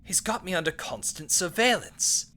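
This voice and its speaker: A man voicing a dry, blunt female scientist with sarcastic deadpan delivery in a parody game dub. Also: high-pitched, moderate pace, a little hiss or hum, heavily acted. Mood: exasperated.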